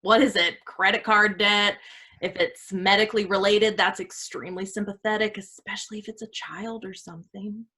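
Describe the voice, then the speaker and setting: Mid-pitched, glib woman scammer acted. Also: steady tone, moderate pace, sharp tone, slightly rough. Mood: glib, probing. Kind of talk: staged play reading.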